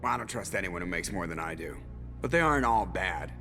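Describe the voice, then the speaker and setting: Wry, creaky-voiced male starship pilot. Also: sits low, animated, fast, pushed and bright, soft background music, full character voice. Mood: wry, reassuring. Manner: casual, sardonic, plain-spoken. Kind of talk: scripted video game dialogue.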